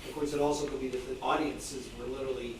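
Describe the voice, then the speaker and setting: A man's voice: medium pitch, flat delivery, fast talker. A man, a club member, speaking informally from the floor during a meeting discussion. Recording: very echoey, distant mic, noisy room.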